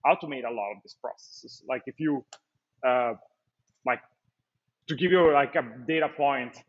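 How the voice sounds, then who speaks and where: A man speaks as a startup founder in a webinar. His voice sits at an ordinary pitch and comes through slightly muffled web call audio. He talks fluently but keeps stopping to restart phrases and fill gaps with "uh" and "um.". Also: normal speed. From Italy. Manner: fluent but hesitant, frequent fillers and restarts. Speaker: startup founder and CEO, guest. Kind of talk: webinar interview, business pitch. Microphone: video call.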